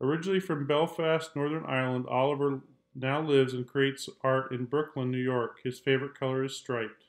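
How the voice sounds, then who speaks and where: A man's voice: mid-range voice, level tone, moderate pace. A man, an art teacher, narrating a children's book read-aloud.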